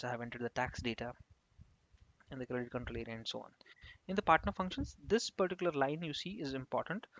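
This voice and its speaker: A man giving an unscripted software tutorial, explaining step by step in halting phrases as an instructor. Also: mid-range voice. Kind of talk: screen-share tutorial narration, unscripted. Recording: dull, lo-fi close microphone.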